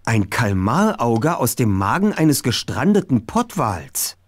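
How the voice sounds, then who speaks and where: A man's voice: medium pitch, very animated, unhurried, dry recording. An actor voicing a clever, self-assured young male detective in an adventure game, with precise, bookish diction. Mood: explanatory.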